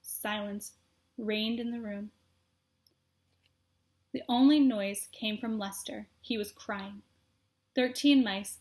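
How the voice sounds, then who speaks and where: A woman reads a children's story aloud. Her voice is high. She narrates expressively, addressing young listeners as a storyteller would. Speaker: storybook reader.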